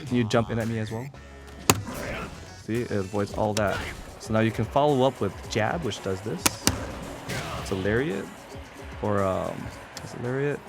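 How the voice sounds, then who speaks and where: A man's voice: fairly low pitch, moderate pace, vocal fry, long pauses. A man giving a casual, informal video game tutorial, explaining things step by step with blunt asides. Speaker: competitive gamer presenting a character guide. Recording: voice over loud game music. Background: video game music.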